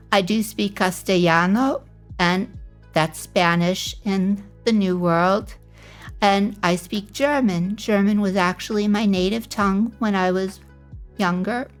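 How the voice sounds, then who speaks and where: This is a woman streamer introducing herself in a relaxed, meandering way, with frequent pauses between her thoughts. Her pitch sits in the middle range and she speaks at a very slow pace. Faint background music plays underneath her voice. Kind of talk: casual self-introduction stream.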